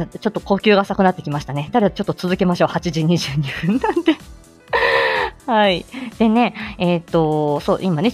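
A woman hosts a casual livestream over faint background music. Her voice sits a little low for a woman. She chats politely, in a flustered, giggly, self-deprecating manner as she makes announcements. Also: darkish tone. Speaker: livestream host. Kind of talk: casual live chat with announcements.

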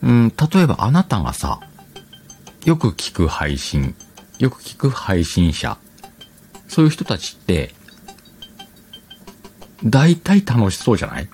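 Very deep-voiced, animated male radio host. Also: measured pace, long pauses, soft background music. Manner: chatty, colloquial, addresses listeners directly. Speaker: online radio show host. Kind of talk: casual solo talk and advice to streamers.

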